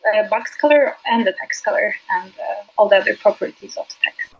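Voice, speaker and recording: A woman whose first language is Turkish, a UX designer as a podcast guest, talking hesitantly with many fillers and soft laughs. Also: mid-range voice, brisk, creaky, muffled recording, crowd noise. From Turkey. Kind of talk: casual interview.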